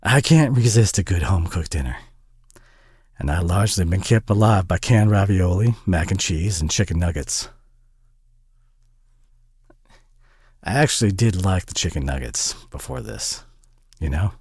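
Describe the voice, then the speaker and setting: A man acts out a Southern American single dad in an intimate audio drama, his voice low and very lively in its rise and fall. He is folksy and bashful, tired but warm, and sprinkles his hesitant talk with sweet pet names. The sound is close and dry. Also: normal speed, slightly rough, no room sound, exaggerated voice. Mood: wry, easygoing.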